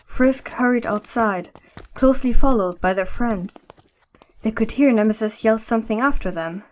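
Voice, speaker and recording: A woman's voice: fairly high pitch, moderate pace, a little mellow, slight background noise, natural voice. A woman reading scripted story narration as the narrator of an audio drama.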